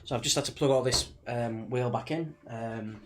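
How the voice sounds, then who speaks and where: A man's voice: mid-range voice, normal speed. A British man with a slight cold chats casually on a livestream, rambling in a laid-back way like a racing gamer talking to viewers. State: sniffly from a cold. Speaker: live streamer, sim racing driver. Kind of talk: casual livestream chat before a race.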